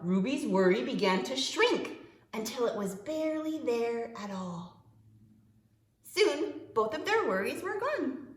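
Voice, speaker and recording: A woman preschool teacher reading a picture book aloud to young children, expressively, with dramatic pauses and stretched-out words. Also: mid-range voice, echoey room.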